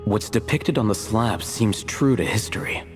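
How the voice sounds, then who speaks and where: A man plays a learned scholar from a video game with a deep, low voice. He is grave and composed, wording everything formally and with measured calm. Music plays underneath. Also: lightly acted. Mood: grave, explanatory.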